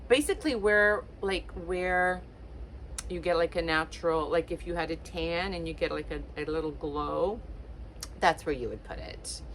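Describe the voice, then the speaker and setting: A woman's voice: lowish voice, normal speed, slight background noise, rough recording. A woman in her late fifties, originally from Portugal, chatting casually to camera with lots of ums and likes. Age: late 50s. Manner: chatty, warm-hearted encouragement with many fillers. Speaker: lifestyle vlogger.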